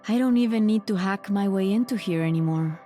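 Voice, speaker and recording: A smug young Mexican hacker woman from a video game, acted by a woman. She is teasing and sure of herself, with a middling pitch. Faint music plays behind her. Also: fairly quick, warm tone, natural voice. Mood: casual, self-assured. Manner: teasing, self-assured. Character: sly, smug young female master hacker.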